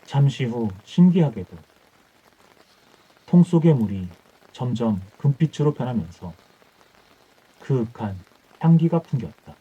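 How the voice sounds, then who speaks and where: Dark, husky-voiced male bedtime storyteller. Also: medium pitch, sing-song, unhurried, long pauses. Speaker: audiobook storyteller. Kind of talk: scripted bedtime folktale narration. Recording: dull muffled audio with music.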